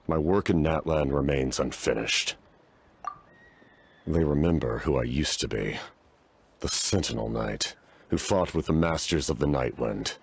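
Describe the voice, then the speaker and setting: An actor playing a stoic, war-weary knight-commander in a fantasy game, speaking formally and gravely. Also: male voice, a bass voice, heavily acted.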